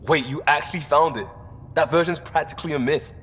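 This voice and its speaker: A man's voice: fairly high pitch, brisk, fairly bright tone, slight background noise, close to an everyday voice. A man playing an easygoing, joking young spaceship crewmate in casual, wry video game dialogue. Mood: pleased. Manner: wry, informal. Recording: reverberant, faint music underneath.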